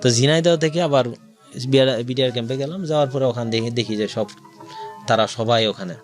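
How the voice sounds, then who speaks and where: A man's voice: medium pitch, fairly quick, soft background music. A man with a Bangladeshi accent tells his own life story as an interview guest, in detail and with quoted dialogue.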